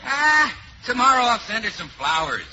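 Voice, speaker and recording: A man's voice: very high-pitched, sing-song, normal speed. A man as a brash radio announcer in a 1940s radio comedy. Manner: brash, salesy. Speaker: radio announcer and comic actor. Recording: dull old radio recording with clear hiss.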